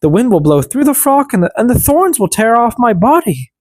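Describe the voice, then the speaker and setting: A gentle young storybook maiden , acted out by an audiobook reader, meek and plaintive. Her voice sits high and moves around expressively, recorded very dry. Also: very animated, a little fast, no echo. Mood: dismayed, pleading.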